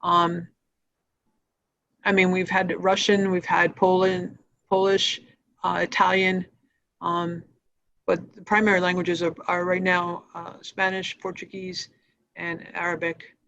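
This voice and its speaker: A woman answering questions as a nonprofit executive, explaining programs in a halting, matter-of-fact, informal way. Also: on the low side, flat delivery, a bit bright. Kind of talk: informal spoken presentation on a video call. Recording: dull, lo-fi livestream audio.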